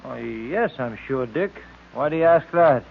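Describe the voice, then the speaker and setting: A man's voice: mid-range voice, animated, a little slow, gravelly. An actor playing a detective's male sidekick under a villain's spell, in old-time radio serial style. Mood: feigned casual. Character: detective's male sidekick, hypnotized, feigning casual friendliness. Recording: tinny, noisy vintage radio recording.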